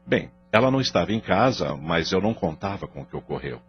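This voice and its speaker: A man's voice: deep voice, very animated, quick pace, breathy edge, dull audio, full character voice. An actor with a Brazilian accent playing a contrite, earnest husband and father in an audio drama. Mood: earnest, defensive.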